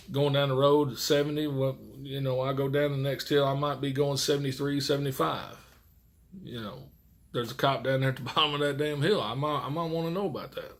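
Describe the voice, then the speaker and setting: A middle-aged man, a truck driver chatting casually and folksily in a rambling way to his viewers. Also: medium pitch. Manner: folksy, rambling, mild swearing. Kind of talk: casual chat to camera.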